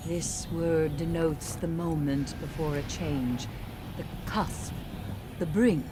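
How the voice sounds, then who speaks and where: A composed scholar in a video game, her voice very low for a woman. She speaks slowly and deliberately, dry and curious, as if weighing each word. There is clear noise behind her. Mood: pensive, analytical. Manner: measured, deliberate, pensive delivery. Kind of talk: scripted game cutscene narration.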